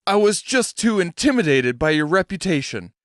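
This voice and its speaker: A man's voice: sits high, big pitch swings, normal speed, no room sound, clean studio sound, pitched above the performer's own voice. A man voicing a mild, hesitant middle-aged man who is a talking severed head. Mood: earnest.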